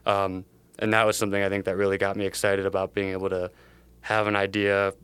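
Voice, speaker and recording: A young man from the Chicago area speaks in a low, level voice, strikingly clean and resonant, recorded close and dry. He answers like a startup founder in a casual interview, thinking aloud in a meandering way. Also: completely flat delivery, fast, fairly bright tone, smooth, no echo. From Chicago area, USA. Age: young adult. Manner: thoughtful, meandering phrasing.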